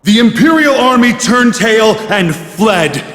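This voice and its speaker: A man's voice: high voice, animated, takes it slowly, a bit bright, soft background music, heavily acted. A man playing a bombastic military ruler in a video game, declaiming fervent, grandiose speeches to rally citizens to war. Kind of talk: dramatic political oratory.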